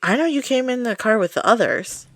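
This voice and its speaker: A woman playing an exasperated, jumpy friend caught up in a haunted-house outing, sarcastic and expressive, in an audio roleplay. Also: on the high side, brisk, no room sound, clean studio sound. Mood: weary, resigned.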